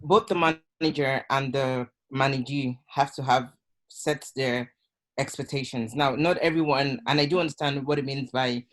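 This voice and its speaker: A man speaks on an online panel in a mid-range voice. He is measured and analytical, splitting a question into parts before answering it. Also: medium pitch, fairly even intonation.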